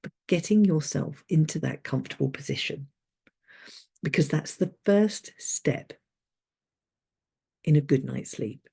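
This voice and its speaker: Slow, soft-spoken woman guiding sleep meditation. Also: sits low, expressive, creaky, husky. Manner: soothing, unhurried, gently instructive. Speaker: sleep coach and meditation guide.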